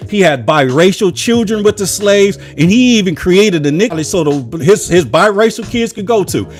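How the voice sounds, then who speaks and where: Fired-up man preaching politics, slightly high voice. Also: lively intonation, normal speed, soft background music. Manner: preachy, with rhetorical repetition and emphatic lists. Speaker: political commentary channel host. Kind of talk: impassioned solo political monologue.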